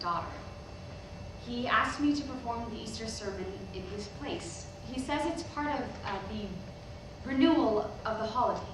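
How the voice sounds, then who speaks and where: In a very reverberant, noisy hall, an earnest young preacher's daughter acted by a woman addresses a congregation. Her voice is on the high side and far from the mic. She is halting and nervous, yet defiant, as part of a staged play. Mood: nervous, flustered. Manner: halting, hesitant public address with rhetorical questions. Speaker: stage actor in a staged reading. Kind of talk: sermon in a staged play reading. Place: indoor hall, recorded from the audience. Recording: very echoey hall, distant microphone, noisy.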